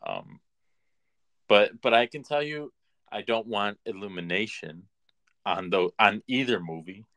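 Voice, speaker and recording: Laid-back man with a lowish voice. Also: unhurried, a bit bright. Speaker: podcast co-host. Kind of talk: casual movie chat.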